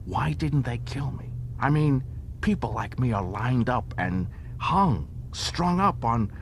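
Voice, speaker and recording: A man's voice: medium pitch, animated, slow, slight background noise, pitched above the performer's own voice. A man voicing an anxious, pleading alien barkeep in an audiobook, insistent and agitated. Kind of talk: audiobook character acting.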